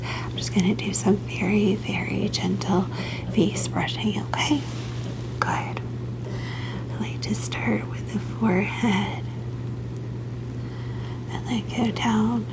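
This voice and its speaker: Slow whispering woman, soothing ASMR doctor. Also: mid-range voice, steady tone, rough recording. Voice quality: breathy, mostly unvoiced whisper. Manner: gentle, soothing, reassuring. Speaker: ASMR roleplayer playing a kind doctor. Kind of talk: ASMR medical roleplay, soft whispering to the listener.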